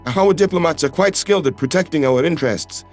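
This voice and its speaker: Human astronaut envoy, male actor, slightly high voice, loyal, plain-spoken, firm; sci-fi audio drama. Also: brisk, faint music behind, lightly acted. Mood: firm, earnest. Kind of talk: science fiction audio drama acting.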